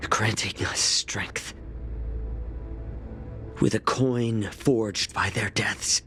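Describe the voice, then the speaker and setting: An actor playing an embittered, grieving but resolute fox healer in a video game, with deliberate dramatic pauses. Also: male voice, fairly low pitch, fairly bright tone. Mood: grim resolve.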